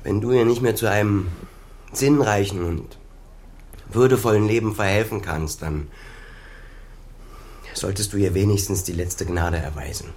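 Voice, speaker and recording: An actor playing an embittered, determined adult son in a German radio crime drama, speaking in a measured, guarded, sardonic way. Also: male voice, fairly low pitch, a little mellow, natural voice. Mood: pleading, insistent.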